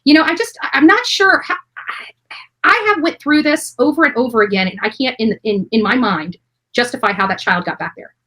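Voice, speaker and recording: Fast-talking, bright-voiced woman in her forties. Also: on the high side, animated. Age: early 40s. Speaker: true crime YouTuber. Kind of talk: casual conversational discussion.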